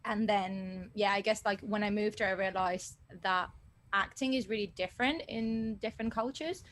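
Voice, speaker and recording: A young woman from Argentina speaks English in a careful, polished British accent she learned as a second language. She talks in an upbeat, chatty and proper way, at an ordinary pitch for a woman. A faint noise sits under her voice. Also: a bit bright. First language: Spanish. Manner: chatty and upbeat, polite and proper, laughs often. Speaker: actress, interview guest. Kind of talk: casual interview chat.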